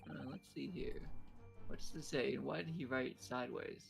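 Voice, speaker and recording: A gravelly, mid-pitched sleuth played by a man reasons aloud, polite and eager. The formal, thoughtful delivery belongs to an amateur mystery game dub, with clear music behind. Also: steady tone, normal speed.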